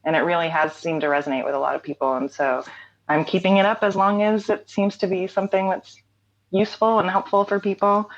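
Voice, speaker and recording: A woman talking casually as an interview guest, relaxed, with frequent fillers and easy laughter. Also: medium pitch, brisk, lo-fi sound. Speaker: interview guest, writer and songwriter.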